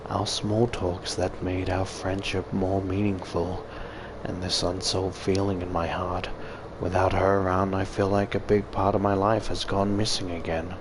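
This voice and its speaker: A man's voice: low voice, background noise. A man reading a visual novel aloud as a gaming YouTuber, with casual, profane commentary and exclamations.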